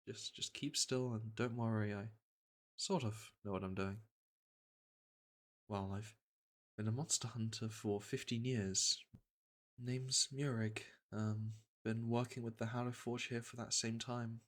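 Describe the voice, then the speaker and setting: A man playing a gentle veteran monster hunter in a fantasy ASMR roleplay, speaking softly and reassuringly, with a hesitant, matter-of-fact manner. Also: fairly low pitch, steady tone, unhurried, natural voice. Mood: calm, reassuring. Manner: soft, hesitant, soothing delivery.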